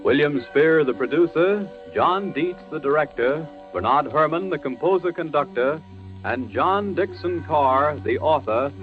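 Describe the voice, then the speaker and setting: A man's voice: a little high, expressive, unhurried, faint music behind. A man reading a formal announcement in 1940s radio style. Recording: tinny narrow-band 1940s radio recording.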